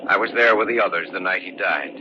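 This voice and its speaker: Man playing deep, solemn young ghost-story narrator. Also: background noise, natural voice. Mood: solemn, ominous. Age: young adult. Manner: solemn, measured, deliberate. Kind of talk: old-time radio drama narration and acting. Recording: muffled old radio recording with music.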